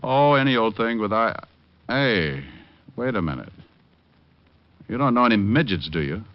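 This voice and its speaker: Low-voiced wisecracking radio detective. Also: male voice, big pitch swings, moderate pace, dry recording. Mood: flirty, teasing. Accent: American. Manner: breezy, teasing, wry. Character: wisecracking, flirtatious male private detective. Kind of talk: 1940s-50s American radio drama acting, first-person narration. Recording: muffled old-time radio recording with music.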